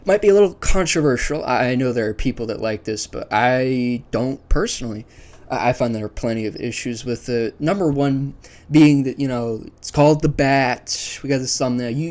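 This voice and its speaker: A man's voice: mid-range voice, expressive, brisk, darkish tone. A man hosting a ranking video in a casual, unscripted way, thinking aloud conversationally and sharing his opinions. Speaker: video host ranking a series list.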